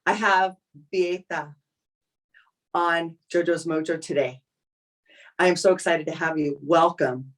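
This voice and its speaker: A woman's voice: lowish voice, fairly even intonation, unhurried, pauses often, recorded from a distance. A woman hosting an interview show, enthusiastic and motivational in tone. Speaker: talk show host.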